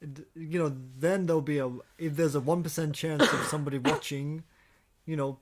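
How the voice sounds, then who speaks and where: A man's voice: fairly high pitch, fairly even intonation, brisk. A man co-hosting a podcast in casual chat, often hesitating and repeating words as he goes. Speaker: podcast co-host.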